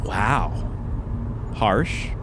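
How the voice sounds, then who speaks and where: An actor playing a sarcastic friend who teases dryly, in a radio drama. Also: male voice, on the low side, extremely slow. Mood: sardonic. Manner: dry, sardonic teasing. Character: sarcastic, wisecracking male friend. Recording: steady road noise behind. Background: car ambience.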